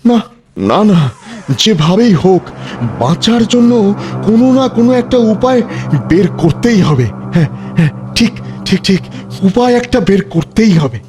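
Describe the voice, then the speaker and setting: Guy doing a nervous new king in a cartoon, really high voice, flustered, repeats himself, music behind. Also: animated, moderate pace, pauses often. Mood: anxious. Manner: flustered, repeating his words. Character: bewildered, anxious castaway man newly made king. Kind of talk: cartoon voice acting.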